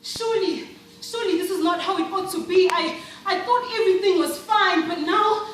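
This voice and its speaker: A woman's voice: very high-pitched, normal speed. A woman with a South African accent performing a dramatic monologue as a devout, troubled churchgoer, emphatic and theatrical, repeating phrases for effect. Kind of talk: dramatic spoken-word monologue. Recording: very echoey room, distant mic, music behind.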